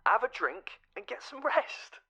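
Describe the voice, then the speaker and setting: An actor playing an affectionate, upbeat partner in an audio drama, hesitant and coaxing in manner. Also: male voice, very high voice, sing-song, unhurried, pushed and bright, husky, full character voice. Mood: soothing, coaxing. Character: doting, upbeat male partner, evasive and soothing.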